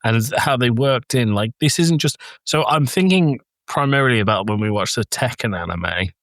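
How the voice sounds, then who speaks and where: A man's voice: mid-range voice, lively intonation, moderate pace, a bit bright, no room sound. A man co-hosting a podcast in casual film chat, with a teasing, self-deprecating way of talking. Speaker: podcast co-host.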